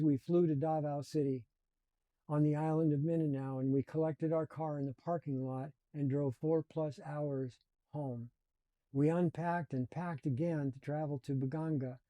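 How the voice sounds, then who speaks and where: A man in his seventies telling his life story in a slow, reflective and earnest way. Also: fairly high pitch, warm tone, dry recording, low-quality recording. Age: 70s. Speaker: channel host. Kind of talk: personal storytelling.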